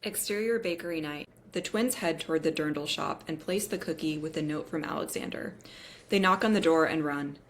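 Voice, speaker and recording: A woman's voice: low-pitched, moderate pace, a little hiss or hum. A woman reading the stage directions of a script aloud as scripted narration at a table read.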